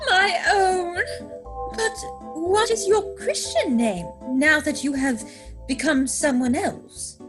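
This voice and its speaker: A woman's voice: high-pitched, slow, over music. A woman playing a romantic, self-assured young society lady in a theatrical stage comedy reading. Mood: adoring. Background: musical score.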